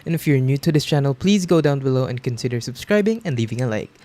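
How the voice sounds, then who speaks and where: A man's voice: mid-range voice, lively intonation, quick pace, warm tone. A man hosting a tutorial, explaining steps in a casual, instructional way. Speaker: tutorial host. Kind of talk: casual software tutorial narration.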